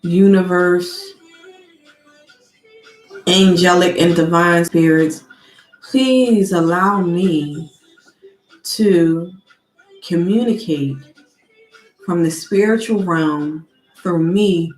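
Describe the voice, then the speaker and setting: A woman with a lowish voice gives a spiritual tarot reading, speaking slowly and deliberately. She sniffles now and then from allergies. Her voice rings in an echoey room, with faint music underneath. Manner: slow, soft and deliberate, with an invocation-like cadence. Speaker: tarot card reader.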